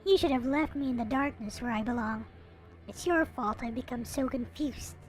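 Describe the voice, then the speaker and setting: A woman reading a visual novel aloud in an expressive, theatrical way, like a dramatic narrator. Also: on the high side, normal speed, rough recording. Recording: music playing behind the voice. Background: visual novel soundtrack music.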